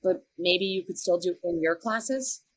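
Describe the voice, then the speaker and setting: A woman's voice: fairly low pitch, moderate pace, a little hiss or hum, lightly acted. An actress playing a worried, hesitant untenured woman teacher in a podcast drama.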